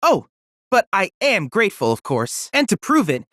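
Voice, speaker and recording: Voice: mid-range voice, huge pitch swings, moderate pace, fairly bright tone, no echo, clean studio sound. An actor playing a polite, scholarly teenage boy android research assistant in a video game, speaking in a formal, precise, courteous way. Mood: pleased, courteous. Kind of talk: scripted video game character dialogue.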